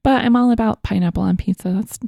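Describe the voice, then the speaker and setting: A woman co-hosting a food podcast with a strong vocal fry and a mellow, darker tone. She chats in a casual, upbeat way. The sound is close and dry. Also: medium pitch, fast talker, no echo. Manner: upbeat, reads listener emails aloud. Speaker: podcast co-host. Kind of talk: casual chat.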